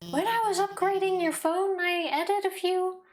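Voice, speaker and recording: A woman voicing a nervous, excitable young female scientist from a game, with a halting stammer. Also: very high voice, pitched above the performer's own voice. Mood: anxious, hopeful. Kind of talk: game character voice acting.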